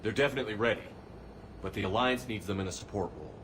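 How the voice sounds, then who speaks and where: A man's voice: on the low side, fast, a bit bright, a little hiss or hum, close to an everyday voice. An actor playing a hardened male human commander, giving clipped, matter-of-fact orders in a game cutscene. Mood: steady. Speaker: military commander. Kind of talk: video game cutscene acting.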